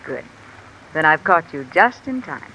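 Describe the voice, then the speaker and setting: A woman's voice: low-pitched, measured pace, exaggerated voice, poor audio. A woman playing a calculating, discontented wife with an insinuating, controlled manner in 1950s radio mystery style. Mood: coolly insinuating. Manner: insinuating, controlled, coolly commanding. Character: cool, calculating, discontented wife blackmailing a man. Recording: old radio recording with steady rain noise. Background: rain falling.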